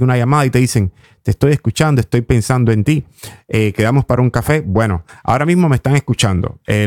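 A man's voice: mid-range voice, darkish tone, no echo. Cuban man hosting radio, close and dry. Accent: Cuban Spanish. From Cuba. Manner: unhurried, deliberate, with long pauses. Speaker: radio host. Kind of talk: reflective music radio presenting. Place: radio studio.

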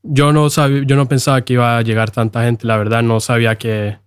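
A man's voice: mid-range voice, flat delivery, fairly quick, smooth. A young man, a singer, answering casually as a guest in an interview. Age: young adult.

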